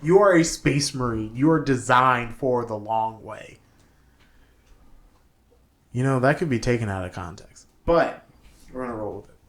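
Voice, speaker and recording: A man co-hosting a casual podcast chat, speaking excitably and repeating phrases for emphasis. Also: mid-range voice, normal speed, pauses often. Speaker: podcast co-host. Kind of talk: casual chat about games and movies.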